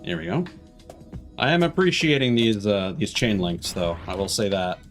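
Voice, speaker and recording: Low voice guy streaming a game, kinda talking to himself, music playing behind him. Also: big pitch swings, moderate pace. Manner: musing to himself, trailing off mid-thought. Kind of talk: casual live gameplay commentary.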